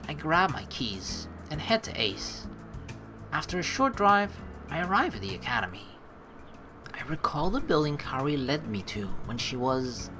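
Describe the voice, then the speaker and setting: Brief: casual woman streamer reading a game aloud, deep voice, lively swings, music under. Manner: casual, rambling, self-correcting. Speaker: let's play host. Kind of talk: reading a visual novel aloud with chatty asides.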